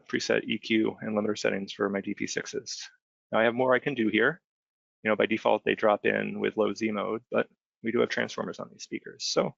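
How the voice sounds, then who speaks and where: A man giving an informal, step-by-step software demonstration as a webinar trainer, explaining conversationally and often checking in with short tag questions. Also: on the low side, creaky, no room sound. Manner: conversational, explanatory, frequent check-in tags like "right?". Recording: dull, band-limited close dry voice recording.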